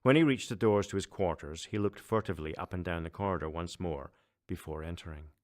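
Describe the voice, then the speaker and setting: A man's voice: sits low, fairly quick, darkish tone. A man reading scripted fiction as an audiobook narrator.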